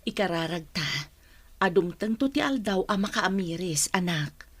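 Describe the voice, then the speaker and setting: A woman's voice: on the low side, animated, normal speed, a bit bright, husky, no room sound. A Filipino woman playing a sorrowful, patient mother in a radio drama. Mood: resigned. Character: sorrowful, patient mother worried about her child. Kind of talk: Ilocano radio drama acting.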